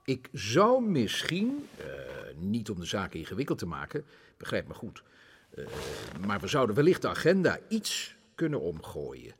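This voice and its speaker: Timid civil servant, lowish but wildly wavering pitch, hesitant, echoey, radio play. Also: male voice, huge pitch swings, normal speed, a little hiss or hum. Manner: hesitant, apologetic. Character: timid, hedging male government official. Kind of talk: Dutch radio drama acting.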